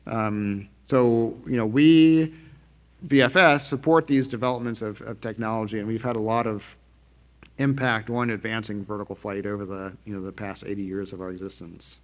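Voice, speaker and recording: A man's voice: lowish voice, steady tone. Man briefing the press with many fillers. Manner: halting, many fillers and restarts. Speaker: director of strategy giving a press briefing. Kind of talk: unscripted presentation from slides. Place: conference room at a trade expo.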